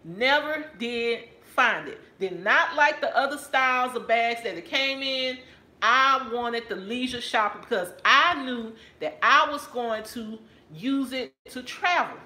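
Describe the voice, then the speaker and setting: A woman's voice: on the high side, expressive, a little slow, sharp tone, reverberant. A middle-aged woman with a Texan accent, chatting casually to her viewers about a handbag she found, sitting on a couch at home. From Texas. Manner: chatty and playful, addresses viewers as y'all. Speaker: handbag haul vlogger. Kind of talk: casual haul video talking to viewers. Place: living room couch at home. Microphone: camera or phone on a stand, close framing.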